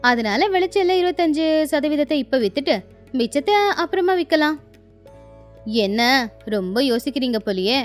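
A woman acting a shrewd young village wife in a moral story cartoon, coaxing and advising with confidence. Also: high-pitched. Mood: persuasive. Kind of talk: acted dialogue in a Tamil moral story cartoon.

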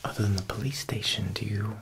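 A young man playing an Italian mob boss tailor in a whispered ASMR roleplay, with an Italian-American accent and a smooth, insinuating manner. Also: fairly low pitch, flat delivery, a little hiss or hum. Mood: sly, negotiating. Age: young adult. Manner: slow, hushed, insinuating, with pauses.

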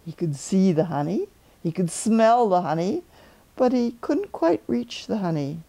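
A woman's voice: mid-range voice, big pitch swings, a little slow, warm tone, rough recording. A woman in her seventies narrating a children's storybook as a grandmother storyteller, indoors in a living room. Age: 70s.

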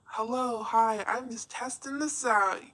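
A woman's voice altered and lowered by a voice-changer effect. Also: a little slow, a bit bright. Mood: playful. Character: altered, lowered voice saying a test greeting. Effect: voice changer that deepens or distorts.

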